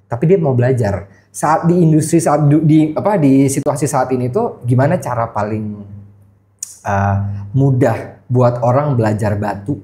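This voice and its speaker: A man's voice: mid-range voice, moderate pace, mellow. A man with a Jakarta accent, hosting a casual interview in colloquial speech and often seeking agreement. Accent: Jakarta Indonesian. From Indonesia. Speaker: podcast host.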